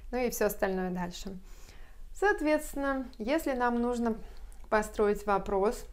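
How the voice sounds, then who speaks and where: Woman teacher explaining grammar slowly. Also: a little high. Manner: slow, careful explanation with spelled-out English examples. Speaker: English teacher. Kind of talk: whiteboard grammar lesson. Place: bright room at a whiteboard. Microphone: lapel mic.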